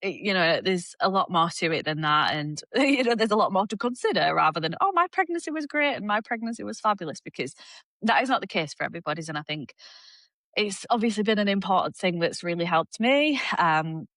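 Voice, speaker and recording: A young woman with a Yorkshire accent, a women's fitness coach, talking in an animated, laughing way as a podcast guest. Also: on the low side, no echo. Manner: animated, laughs often, quick asides. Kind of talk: casual podcast interview.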